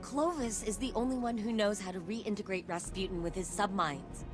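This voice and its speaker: A woman's voice: medium pitch, moderate pace, close to an everyday voice. A woman playing a breezy, resourceful pilot and scientist in a video game, informal and wry. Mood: earnest. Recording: loud music behind the voice.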